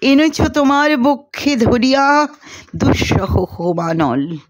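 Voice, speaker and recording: A woman reader acts out a brooding young male student from a storybook. The delivery is slow and declamatory, with wide swings of pitch and a poetic, despairing temper. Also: sing-song, exaggerated voice. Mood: wistful, brooding. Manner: dramatic, declamatory. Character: brooding young male student reciting poetry, theatrical, despairing about competition.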